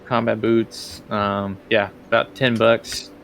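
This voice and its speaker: A man's voice: on the low side, flat delivery, slow pace, vocal fry, a bit bright, slight background noise. A man working as game master, narrating casually and improvising as he goes, often thinking aloud.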